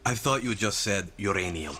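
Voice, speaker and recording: An actor playing a blunt ex-military guerrilla recruit who speaks in short, dry lines in a video game. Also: male voice, on the low side, expressive, moderate pace, bright, forceful tone, slightly rough, full character voice. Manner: terse, dry questions. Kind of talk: video game cutscene acting. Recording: game audio with music under.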